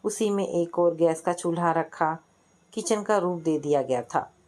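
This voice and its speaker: A woman's voice: lowish voice, measured pace, darkish tone. A woman reading a scripted family story as a storyteller, acting out its dialogue.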